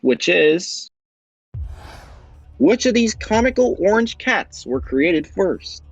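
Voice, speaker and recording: A man with a fairly high voice hosts a quiz show, reading out questions and answers with slow, suspenseful pauses. Light music plays beneath him. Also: big pitch swings, husky, no echo, lo-fi sound. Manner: upbeat, drawn-out question reading with dramatic pauses. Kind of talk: hosting a voiced game show on a video game platform.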